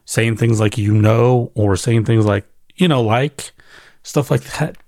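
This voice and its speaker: A man's voice: mid-range voice, mellow, no echo. Man talking casually in a dry, close recording. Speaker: podcast host and newsletter writer. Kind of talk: casual reflective solo monologue, off the cuff.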